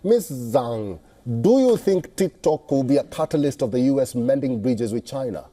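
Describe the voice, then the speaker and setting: A man's voice: medium pitch, expressive, moderate pace, mellow. A man working as a news anchor, presenting scripted news and putting questions in a live interview.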